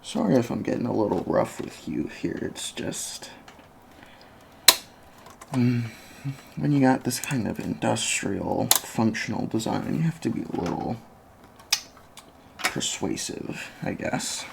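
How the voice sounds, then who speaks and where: A person doing a soft-spoken ASMR roleplay as a repair technician, murmuring hesitantly to the listener through each step. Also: medium pitch, unhurried, long pauses. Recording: constant fan noise, clicks and chair creaks. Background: computer fan hum, clicking and typing.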